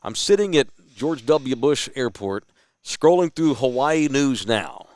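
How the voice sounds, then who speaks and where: A man's voice: mid-range voice, unhurried. A man with a Texas accent telling a story in a casual podcast chat, acting out the people he quotes. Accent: Texan. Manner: animated storyteller, quotes others in a lively voice.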